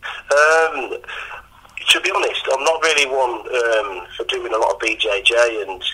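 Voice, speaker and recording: A man, an MMA coach answering interview questions, a bit croaky after training and halting with many ums. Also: medium pitch, steady tone, bright, forceful tone, poor audio. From England. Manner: hesitant, full of ums and restarts.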